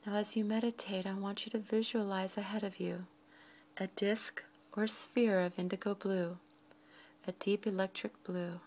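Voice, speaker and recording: Soft-voiced woman guiding a meditation. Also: mid-range voice, flat delivery, slight background noise. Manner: measured, deliberate, with frequent pauses between short phrases. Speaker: meditation guide. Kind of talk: slow, soft guided meditation.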